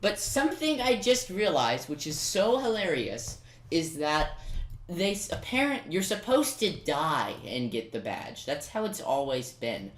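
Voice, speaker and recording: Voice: medium pitch, sing-song, measured pace. Casual gameplay guide narrator, mid-range voice, speaks straight to the viewer, echoey room sound. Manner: informal, talks directly to the viewer. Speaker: video game commentator.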